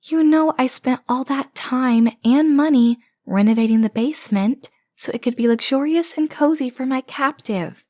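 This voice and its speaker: A woman's voice: high-pitched, normal speed, hardly any pauses, dark tone, no echo. A woman playing an obsessive, bickering kidnapper sister in a comedic audio roleplay, with a theatrical, boastful and rambling delivery. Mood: casual, puzzled.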